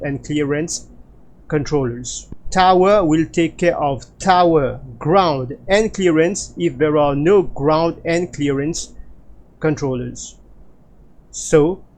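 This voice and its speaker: Male tutorial instructor, slightly higher-pitched voice, very measured pace, hesitant with many filled pauses. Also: darkish tone, poor audio. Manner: hesitant, halting delivery with frequent filler pauses. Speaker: flight simulator instructor. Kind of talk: explanatory tutorial narration.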